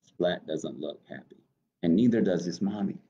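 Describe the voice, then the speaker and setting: A man in his thirties reading a picture book aloud to children as storytime host, expressive and encouraging, with chatty asides to young listeners. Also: low-pitched, moderate pace. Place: small office, indoors. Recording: dull webcam audio.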